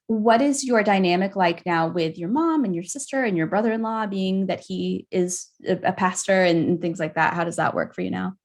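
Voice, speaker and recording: A woman hosting a podcast, asking open and thoughtful questions in a casual interview. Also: on the low side. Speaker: podcast host.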